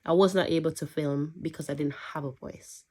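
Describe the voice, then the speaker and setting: A young woman with a low, deep voice talks to her viewers in a relaxed, chatty way. She is still a little congested from a cold and tells her story with lively asides and questions. The tone is casual and personal. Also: brisk. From England. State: recovering from a cold, slightly congested. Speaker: vlogger. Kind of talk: casual chat to camera.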